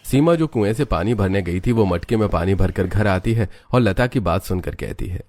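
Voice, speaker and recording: A man's voice: sits low, lively intonation, moderate pace, darkish tone. A man narrating a scripted cartoon story as its storyteller.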